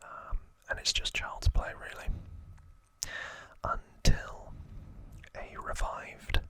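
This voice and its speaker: A man whispering softly and breathily as an ASMR host, narrating trivia in short, unhurried phrases. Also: dry recording.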